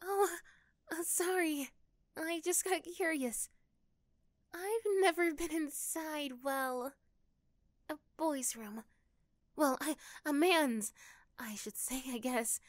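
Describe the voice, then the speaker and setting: Sweet, high-voiced young girl next door, acted by a woman. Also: slow pace, pauses often, dry recording, clean studio sound. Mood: flustered. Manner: soft, hesitant, gentle. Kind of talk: ASMR roleplay acting.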